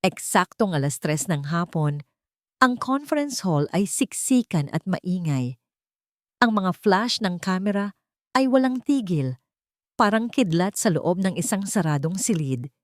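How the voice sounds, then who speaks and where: A woman narrating a scripted romance drama with dramatic pauses. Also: lowish voice, lively intonation, no room sound, studio quality.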